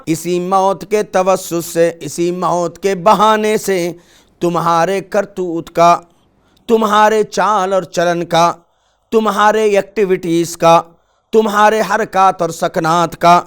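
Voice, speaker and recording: A male preacher with a high, smooth, resonant voice delivers a sermon. He recites verses and then explains them with rhetorical questions, building to impassioned emphasis. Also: fairly bright tone.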